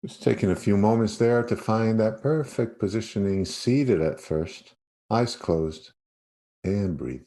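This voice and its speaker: A man leading a guided meditation as a yoga nidra teacher, speaking in an unhurried way with spacious pauses. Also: lowish voice, animated, dark tone.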